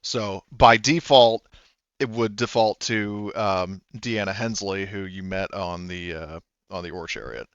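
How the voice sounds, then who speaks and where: Halting man running a tabletop game. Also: sits low, fairly even intonation, a bit bright, smooth, no echo, muffled recording. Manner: hesitant, many ums and restarts. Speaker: game master. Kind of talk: explaining rules and story in a tabletop game.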